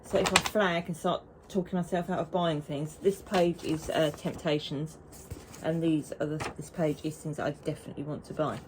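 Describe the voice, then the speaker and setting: Chatty woman, slightly low voice. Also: steady tone, normal speed, background noise. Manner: chatty and rambling, with frequent restarts. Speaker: knitting vlogger. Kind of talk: casual chat to camera.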